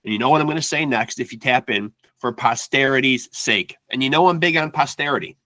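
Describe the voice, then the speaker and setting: A man hosting a podcast interview, talking in an energetic, casual way and calling his guest "man" again and again. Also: mid-range voice, brisk, no room sound. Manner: energetic, peppers speech with "man". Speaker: podcast host. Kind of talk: casual chat.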